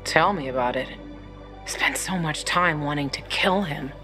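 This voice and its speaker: A woman's voice: low-pitched, a little slow, sharp tone. A woman playing a tough, candid young fighter with a wry, guarded manner in a video game cutscene. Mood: candid, reflective. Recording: music behind the voice.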